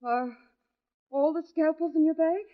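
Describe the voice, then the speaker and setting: An actress playing a frightened, suspicious wife who tells her own dark tale in 1940s radio mystery style, with anguished dramatic pauses. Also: sits high. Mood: uneasy. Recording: dull old radio recording, music behind. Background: dramatic music.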